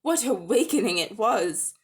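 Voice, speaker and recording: A woman narrating a children's story as a dramatic reading, expressive and deliberate. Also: a little high. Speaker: audiobook narrator.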